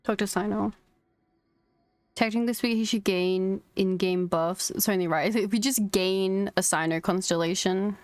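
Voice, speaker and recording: A woman's voice: mid-range voice, steady tone, normal speed, dry recording, soft background music. A woman streaming a game, chatting casually and commenting as she plays, close on the mic.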